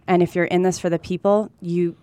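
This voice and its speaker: A woman's voice: fairly low pitch, little pitch movement, a little fast, mellow, clear, resonant tone, no room sound. A woman from California talking earnestly and candidly as a guest in a conversational interview. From United States (California), also lived in Colombia. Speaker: interview guest, real estate agent. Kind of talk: conversational interview, personal anecdotes.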